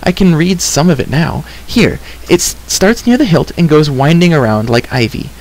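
A man's voice: fairly high pitch, lively intonation, normal speed, pitched above the performer's own voice, low-quality recording. A headstrong young girl from a storybook, acted out by a male reader. The voice sits raised and speaks with emphatic, impatient chatter, outspoken and sure of itself. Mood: intent. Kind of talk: audiobook character reading.

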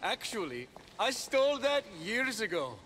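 An actor playing a mischievous young thief god in a game, flippant and drawling. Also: male voice, sits high, big pitch swings, a little slow, pushed and bright, slight background noise, heavily acted. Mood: cheeky. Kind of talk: game cutscene acting.

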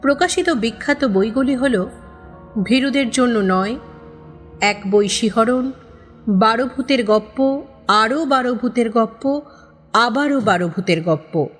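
A woman's voice: a little high, expressive, takes it slowly, pauses often. Reverberant female announcer over music. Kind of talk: scripted audio story introduction. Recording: long studio reverb, background music.

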